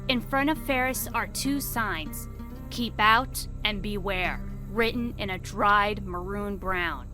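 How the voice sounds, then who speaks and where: A woman's voice: mid-range voice, slow, music in the background. A woman reading scripted storybook narration for an audio drama.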